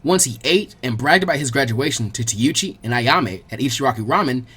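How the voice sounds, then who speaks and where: A man reading a story aloud as an expressive, colloquial audiobook narrator, with a husky voice. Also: medium pitch, fairly quick. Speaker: fan fiction audiobook narrator.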